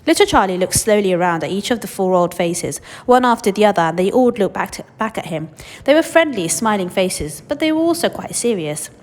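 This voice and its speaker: A woman's voice: mid-range voice, lively intonation, a little fast, long reverb tail. A woman reading a children's story aloud expressively, as a teacher hosting a read-aloud.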